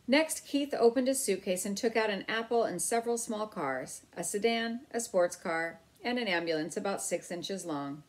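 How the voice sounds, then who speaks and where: Woman reading a children's storybook aloud. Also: medium pitch. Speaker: read-aloud narrator. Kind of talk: scripted storybook narration.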